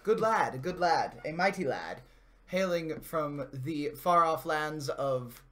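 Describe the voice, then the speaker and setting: Man, fairly high voice, live-stream co-host, casual banter, rambling, jokey. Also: lively intonation, slow pace, fairly bright tone.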